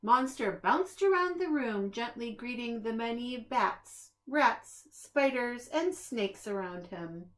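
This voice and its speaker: Female storybook reader for young children, fairly high-pitched, unhurried, expressive and deliberate. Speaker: preschool staff reader. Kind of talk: read-aloud of a children's picture book.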